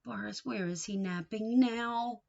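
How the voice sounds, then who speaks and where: A woman reading a picture book aloud to young children in an expressive, sing-song way, coaxing them with questions. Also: fairly high pitch, a little slow, creaky, muffled recording.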